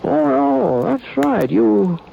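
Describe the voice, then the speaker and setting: An actor playing an easygoing, sly drifting cowboy sheriff in a 1950s radio western, with a halting, folksy drawl. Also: male voice, very high-pitched, very animated, gravelly, dark tone, slight background noise, heavily acted. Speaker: lead actor and narrator. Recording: dull old radio broadcast with music.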